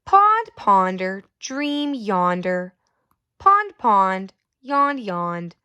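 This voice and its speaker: A woman reading a picture book aloud as a teacher, in a deliberate, expressive way meant for young children. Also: medium pitch, clean tone, no room sound. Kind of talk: expressive storybook read-aloud with questions to children.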